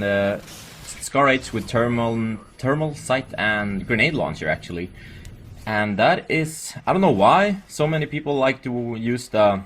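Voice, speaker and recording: Male gamer, Swedish accent, on the low side, casual and jokey commentator, slightly noisy recording. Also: a bit bright. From Sweden. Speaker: gaming video creator.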